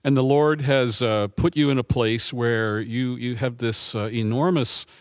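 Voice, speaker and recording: A man's voice: mid-range voice, fairly even intonation, unhurried, no room sound, clean studio sound. A man who is a pastor hosting an interview, asking questions with frequent fillers. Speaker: pastor and host. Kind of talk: interview host.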